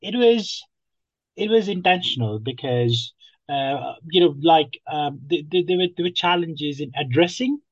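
A man's voice: a little high, measured pace, slightly rough, dry recording, lo-fi sound. A young man from Nepal, a guest on a podcast, speaking hesitantly with many ums and restarts. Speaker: guest, app founder. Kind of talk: podcast interview.

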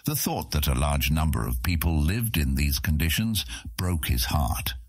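A man's voice: very deep voice, a little mellow, breathy edge, dry recording, studio quality. A man reading scripted fantasy narration as an audiobook narrator.

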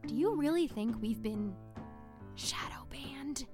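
A woman playing an eager, earnest flat-earth video maker in an audio drama, talking in a casual, exclamatory way. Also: high-pitched, takes it slowly, exaggerated voice. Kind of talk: audio drama acting, conversational. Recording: music bed behind the voice.

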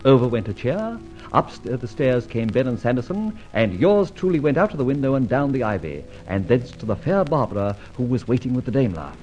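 An actor playing an amused, self-satisfied gentleman thief in an old radio serial, with flippant, urbane, florid delivery. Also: mid-range voice, very animated, fairly quick, music in the background, lightly acted. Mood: smug, amused. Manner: theatrical, urbane, chuckling, florid phrasing. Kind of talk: 1950s-60s radio serial acting. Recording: dull vintage radio recording, light noise.

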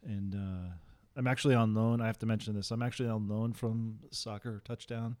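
A man co-hosting a casual podcast, talking in a halting, self-deprecating way. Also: on the low side, steady tone, a little mellow. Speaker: podcast co-host.

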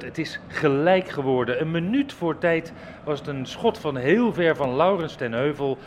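Higher-voiced male football reporter. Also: a little hiss or hum. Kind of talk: live sports commentary. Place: outdoors at a football ground. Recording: faint outdoor stadium ambience.

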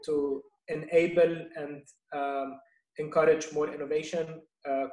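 A middle-aged man from Lebanon, a business guest answering interview questions in a measured way, pausing often with fillers. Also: a little high, a little mellow, roomy sound, poor audio. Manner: measured, with many fillers and restarts. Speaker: guest, venture investor.

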